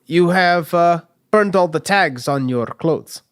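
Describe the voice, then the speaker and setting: Tabletop game fixer , a man acting, high register, brusque, cautious, commanding. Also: clean tone. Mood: stern, cautious. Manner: probing, commanding, halting. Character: brusque, cautious male underworld fixer shopkeeper. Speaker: game master. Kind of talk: improvised tabletop roleplay.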